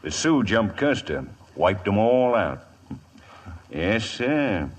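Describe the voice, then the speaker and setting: Sly husky gun trader. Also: male voice, on the low side, sing-song, takes it slowly, heavily acted. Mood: sly, insinuating. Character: sly, greedy gun trader, smooth-talking and cool. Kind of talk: 1950s radio western acting.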